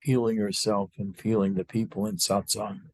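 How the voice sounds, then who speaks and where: A man with a husky, fairly low voice leads a guided meditation, recorded close and dry. He talks as a spiritual teacher to his group, unscripted and halting. Long pauses separate his short phrases. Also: level tone, no room sound.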